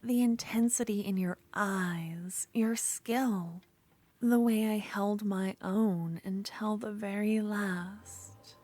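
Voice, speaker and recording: Woman acting a slow, obsessive yandere rival. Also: mid-range voice, fairly even intonation. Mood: infatuated, wistful. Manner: slow, intimate, drifting between soft speech and breathy whispers. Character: obsessive yandere young woman duelist, possessive, smitten, menacing. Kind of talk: ASMR fantasy roleplay.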